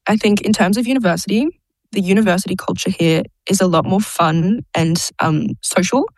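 A woman's voice: mid-range voice, a little fast, no room sound. A young Australian woman, an international student, chatting in an interview, laughing often and filling her talk with "like" and "um". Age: young adult. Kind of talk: casual interview.

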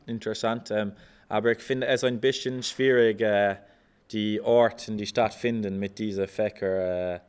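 A young man from Ireland, an exchange student who speaks German haltingly, with many fillers, and searches for words. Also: lowish voice, fairly even intonation, darkish tone, smooth. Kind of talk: casual interview in German as a learner.

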